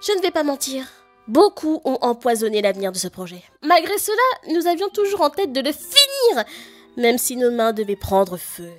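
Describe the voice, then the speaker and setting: A woman who does radio voice-overs, answering in an expressive, somewhat hesitant way. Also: high-pitched, normal speed, soft background music. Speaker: radio voice-over artist.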